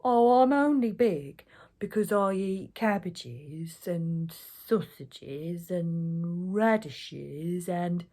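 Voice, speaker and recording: Woman doing a very slow, sly giant ogre. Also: lowish voice, expressive, dark tone. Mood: wheedling. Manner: drawn-out, halting, feigning innocence. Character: huge, very slow, slyly pleading ogre.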